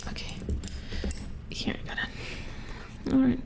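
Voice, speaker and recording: A woman playing a calm, methodical robot repair engineer in a soft-spoken ASMR roleplay, murmuring with concentration close to the microphone. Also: slow, slight background noise, lightly acted. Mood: calm, focused.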